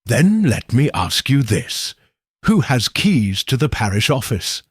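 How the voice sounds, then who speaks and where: A man voicing a shrewd calm detective. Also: medium pitch, husky, no room sound, studio quality, heavily acted. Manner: measured, precise reasoning.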